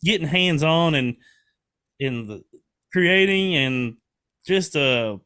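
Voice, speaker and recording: Dude in his 40s, slightly high voice, earnest casual podcast talk, cusses freely. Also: animated, slow pace, bright, forceful tone, smooth. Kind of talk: casual chat, swearing.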